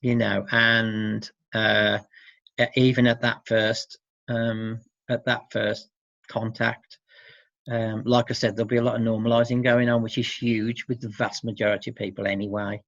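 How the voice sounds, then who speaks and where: Low-voiced man, muffled roomy interview. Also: flat delivery, normal speed, vocal fry, a bit bright. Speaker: interviewee, support service worker for dads. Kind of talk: informal interview answers.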